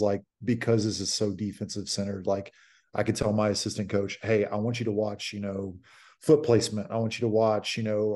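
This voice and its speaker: A man in his thirties talks through a basketball drill over a video call from a home office. His voice is fairly low and steady. He explains plainly, with frequent fillers. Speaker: basketball coach guest. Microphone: video call webcam.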